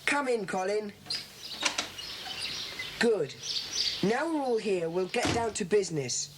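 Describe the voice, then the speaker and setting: A woman playing a bossy, impatient schoolboy leader of a secret club in a children's audio drama, speaking in a brisk, commanding way. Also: medium pitch, animated. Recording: faintly noisy old cassette.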